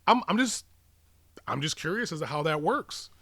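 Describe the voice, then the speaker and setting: A man with a lively, swooping and fairly high voice argues his points on a casual podcast. He leans on rhetorical questions and incredulity, recorded close and dry. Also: normal speed, no echo. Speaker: podcast co-host. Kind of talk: casual debate and banter.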